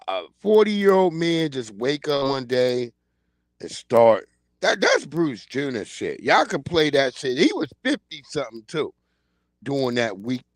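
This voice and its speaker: A man in his forties talks straight into a streaming mic with an average pitch, and the sound is close and dry. His delivery is animated, blunt and full of slang, and he keeps pushing his point. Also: dry recording. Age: 40s. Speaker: livestream host. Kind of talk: casual commentary livestream.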